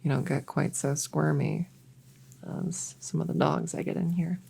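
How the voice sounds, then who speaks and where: A woman playing a gentle, reassuring witch veterinarian in a soft-spoken ASMR roleplay, soothing and a little hesitant as she chats to her patient. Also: low-pitched, steady tone, normal speed, vocal fry, a little hiss or hum. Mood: reassuring, chatty. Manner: soothing, hesitant, chatty bedside manner.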